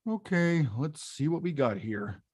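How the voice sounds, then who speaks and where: An actor as a wildly animated, stubborn game villain. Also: mid-range voice, a little slow, husky. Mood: weary, grumbling. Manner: emotional, emphatic, blustering. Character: big frustrated, stubborn male video-game bad guy craving respect. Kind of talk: animated film script table read.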